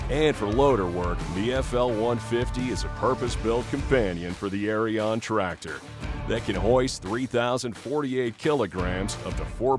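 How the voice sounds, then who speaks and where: Pria narator iklan yang bertenaga. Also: male voice, lowish voice. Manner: punchy, emphatic delivery. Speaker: promo narrator. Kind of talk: scripted commercial voice-over.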